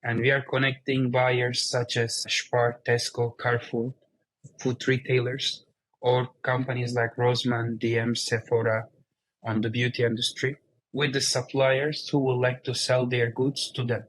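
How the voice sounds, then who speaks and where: Turkish-accented man speaking in a flat, even tone. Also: mid-range voice, measured pace, poor audio. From Turkey. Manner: explains plainly in non-native English. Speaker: guest, company CEO. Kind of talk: casual interview conversation.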